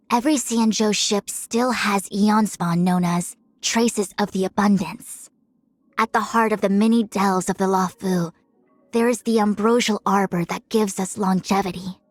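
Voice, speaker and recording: A woman's voice: medium pitch, dry recording, close to an everyday voice. A woman playing a proud young female diviner in a video game, speaking in composed, formal, lofty phrases. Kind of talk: scripted game character voice lines. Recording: studio-dry voice over music. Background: music.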